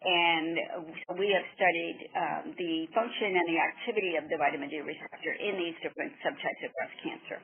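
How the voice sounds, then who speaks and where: A woman research scientist being interviewed by telephone, explaining technical matters in a measured, careful way. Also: on the low side, steady tone, vocal fry, a little hiss or hum. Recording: muffled narrowband phone line.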